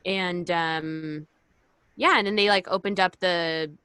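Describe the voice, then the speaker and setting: A woman's voice: on the low side, steady tone, slow, bright, forceful tone, clean tone, dry recording. Playful woman with a dry, close sound. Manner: playful, self-deprecating. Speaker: podcast co-host. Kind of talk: casual chat.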